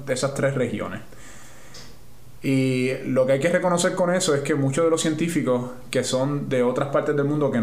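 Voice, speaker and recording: A man with a Puerto Rican accent answers interview questions at a middling pitch. He talks things through, restarting and rephrasing his sentences. The room around him echoes. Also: darkish tone. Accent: Puerto Rican Spanish. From Puerto Rico. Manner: thoughtful, restarts and rephrases while explaining. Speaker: scientist guest.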